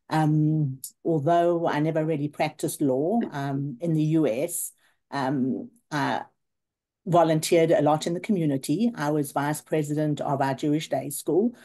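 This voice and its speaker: A woman's voice: low-pitched, mellow. A woman in her fifties with a South African accent, giving a partly prepared personal life update to a group on a video call. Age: 50s. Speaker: class reunion participant.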